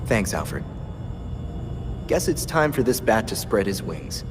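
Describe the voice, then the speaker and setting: Earnest, expressive young vigilante sidekick. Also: male voice, on the low side, slow, music in the background. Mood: determined, hopeful. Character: earnest young male vigilante sidekick, eager and self-doubting. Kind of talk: video game cutscene acting.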